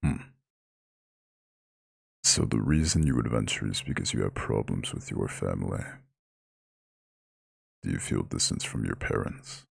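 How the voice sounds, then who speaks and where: A man voicing an ancient eldritch god in an ASMR roleplay, proud and lordly yet tender, with a very deep, husky, gravelly growl. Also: expressive, no room sound, studio quality, heavily acted. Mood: gentle, consoling. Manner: lordly, formal, slow comforting address. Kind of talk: scripted ASMR roleplay monologue.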